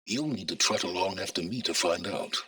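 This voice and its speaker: A coldly calculating older male scholar in a game drama. His voice is deep and heavily husky, with a forceful edge. He speaks in deliberate, pompous phrases, condescending to whoever is listening. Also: no room sound, heavily acted. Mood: condescending, dry. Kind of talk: video game dramatic acting.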